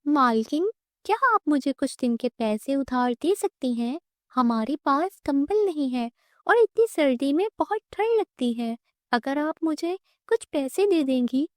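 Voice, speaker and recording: Woman voicing a high-pitched, kind orphan girl. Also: animated, measured pace, no room sound, clean studio sound. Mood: pleading. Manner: gentle, earnest, polite. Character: kind, self-sacrificing poor orphan girl, elder sister. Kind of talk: cartoon moral story voice.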